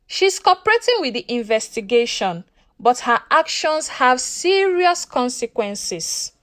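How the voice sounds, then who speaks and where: A Nigerian-accented woman voicing a calm, stern male police inspector in a folktale, measured and official. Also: sits high, exaggerated voice. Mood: stern, grave.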